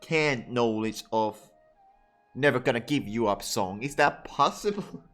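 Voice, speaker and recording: A man's voice: medium pitch, lively intonation, measured pace, a bit bright. A man giving casual, irreverent, sweary reaction commentary as he streams a game. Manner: irreverent, profane, interjecting. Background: game music.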